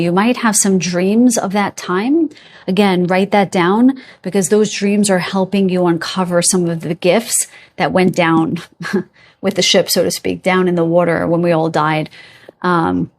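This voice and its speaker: Woman, lowish voice, psychic card reader, addresses viewers, meandering, reassuring, searches for words. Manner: meandering, reassuring, checks in with listeners. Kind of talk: spirit guide reading to camera.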